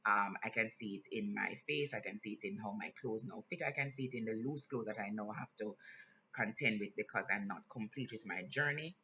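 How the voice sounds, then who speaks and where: Jamaican guy vlogging, low-ish voice but bright and forceful, kinda dull lo-fi sound. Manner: earnest and candid, pausing with fillers. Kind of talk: casual vlog monologue. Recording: slightly dull lo-fi sound, faint hiss.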